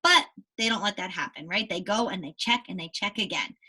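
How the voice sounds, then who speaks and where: A woman's voice: mid-range voice, brisk, sharp tone, breathy edge. A woman teacher reading a children's book aloud, explaining it to young pupils in an instructive way with frequent check-in questions. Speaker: elementary school teacher.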